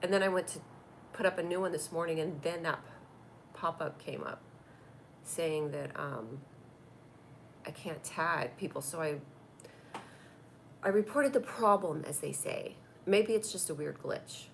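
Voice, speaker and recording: A woman's voice: low-pitched, unhurried, vocal fry, long pauses, a little hiss or hum. A woman with a cold and a stuffy voice, hosting a comedy live stream and chatting through viewer comments on a tablet. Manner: chatty and rambling, reacting to comments. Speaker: comedy show host doing a live stream.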